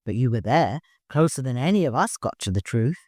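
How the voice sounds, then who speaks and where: A man's voice: medium pitch, lively intonation, fairly quick, darkish tone, no room sound, studio quality, lightly acted. Man reading as a mischievous, talkative old man. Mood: insistent. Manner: teasing, insistent, knowing. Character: mischievous, talkative old male servant in a novel.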